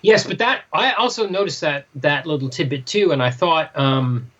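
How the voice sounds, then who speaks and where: Podcast co-host, mid-range voice, conversational and animated, working through thoughts out loud in a casual review chat. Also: medium pitch, normal speed, muffled recording. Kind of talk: casual comic book discussion.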